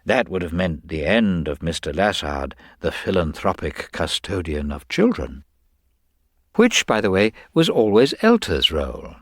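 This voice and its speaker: A male narrator plays a quietly shrewd middle-aged investigator in an audiobook. His voice is low and swoops expressively, yet he stays gentle, hesitant and exact, in a dry, close sound. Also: very animated, unhurried, dry recording, heavily acted. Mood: explanatory, methodical. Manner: gentle, hesitant, precise, understated. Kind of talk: dramatised audiobook character dialogue.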